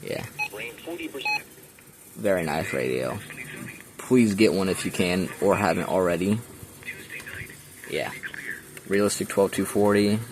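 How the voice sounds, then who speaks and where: A man's voice: sits low, takes it slowly, long pauses, background noise. A young man casually showing his radio collection in his bedroom, rambling with many ums, filmed point-of-view on a handheld camera. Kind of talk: casual show-and-tell.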